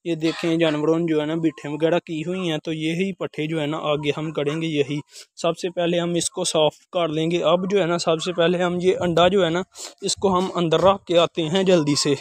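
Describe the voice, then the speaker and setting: Chatty young man, high voice, village courtyard. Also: flat delivery, moderate pace, dry recording. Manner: chatty, repeats filler phrases, addresses viewers as guys. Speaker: village life vlogger. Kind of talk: casual walkthrough narration. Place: outdoor village courtyard with poultry. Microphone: phone held at arm's length.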